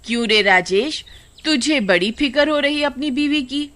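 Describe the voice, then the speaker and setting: A woman's voice: sits high, lively intonation, measured pace, sharp tone, full character voice. Woman playing a bossy, scolding older mother-in-law. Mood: scolding, demanding. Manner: scolding, commanding, sarcastic. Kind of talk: Hindi animated horror story acting.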